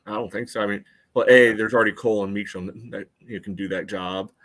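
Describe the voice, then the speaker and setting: A man co-hosting a soccer podcast, thinking aloud in casual analysis with a measured, hedging manner. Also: fairly low pitch, fairly even intonation, fairly bright tone. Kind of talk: casual sports analysis chat.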